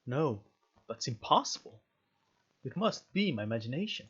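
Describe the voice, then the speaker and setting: A man's voice: mid-range voice, sing-song, normal speed, fairly bright tone, breathy edge, dull audio. A man doing a let's play of a visual novel, reading the text aloud and breaking off with jokey, sweary reactions. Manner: sweary, jokey exclamations between read-aloud passages. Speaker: gaming video host.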